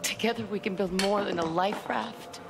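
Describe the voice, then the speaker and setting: A woman's voice: medium pitch, moderate pace, heavy background noise, lightly acted. A woman playing an earnest, persuasive wasteland survivor in a video game drama. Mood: pleading, earnest.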